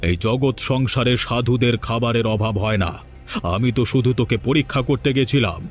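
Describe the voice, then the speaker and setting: A man's voice: mid-range voice, fairly quick, faint music behind, natural voice. A man playing a stern, proud holy man who hands down curses in a moral-tale cartoon, speaking in solemn, scolding pronouncements. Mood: stern, haughty.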